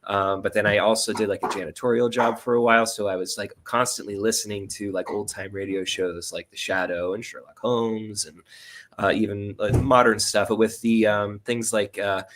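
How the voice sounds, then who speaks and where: A man talking as an interview guest, explaining things casually and haltingly. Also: fairly low pitch, steady tone, a bit bright, a little hiss or hum. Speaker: guest, audio drama writer and producer.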